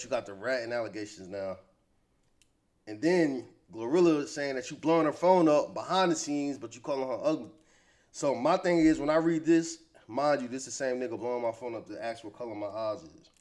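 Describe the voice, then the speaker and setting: A man's voice: mid-range voice, a little mellow. An American man giving casual, opinionated hip-hop gossip commentary in slangy, conversational speech.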